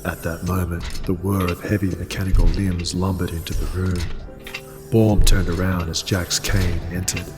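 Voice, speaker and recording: A man narrates a scripted story in cinematic style. His voice is low and stays very even, trailing long reverb over a music score. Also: moderate pace.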